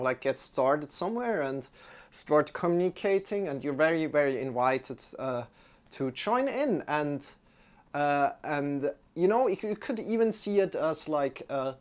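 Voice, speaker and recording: A young German man, a yoga teacher, sitting on a mat indoors and chatting casually with livestream viewers, friendly and rambling, restarting his sentences often. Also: on the high side. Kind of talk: casual chat with live viewers.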